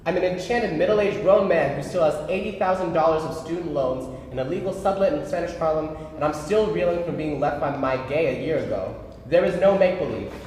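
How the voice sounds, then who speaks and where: A man's voice: a little high, brisk, hardly any pauses, a little mellow, close to an everyday voice. A man playing a jaded, exasperated middle-aged son in a staged play reading, weary, sardonic and dismissive. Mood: bitter, sardonic. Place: live staged reading in a reverberant room. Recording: very echoey hall, some background noise.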